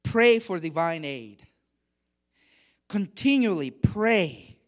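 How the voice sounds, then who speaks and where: A middle-aged man from Honduras preaching a sermon, measured and emphatic, with quieter stretches for personal stories. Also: sits high, lively intonation. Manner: measured preaching, rising emphasis on key phrases. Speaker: guest preacher.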